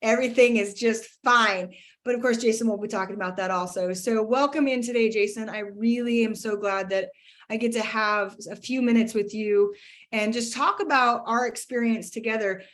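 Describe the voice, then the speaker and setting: A woman's voice: fairly high pitch, normal speed, fairly bright tone. A woman hosting an interview, talking in a friendly, encouraging way with her guest. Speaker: host, teacher. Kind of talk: casual interview.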